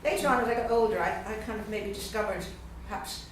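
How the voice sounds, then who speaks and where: Middle-aged Irish woman, guest poet, measured and unhurried reading, loose and chatty between pieces; picked up far from the mic in a very echoey room. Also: mid-range voice, background noise. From Dublin, Ireland. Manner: slow, measured reading with pauses; chatty and hesitant between poems. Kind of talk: poetry reading at a literary evening. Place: event room at a literary gathering.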